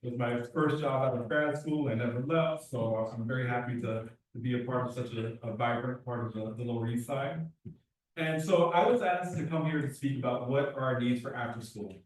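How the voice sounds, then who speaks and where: A man's voice: mid-range voice, a little hiss or hum. Earnest man speaking in an echoey hall. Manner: earnest, talks fast with restarts. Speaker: youth program director. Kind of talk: public meeting testimony. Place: community board meeting room. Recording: echoey room, far from the mic.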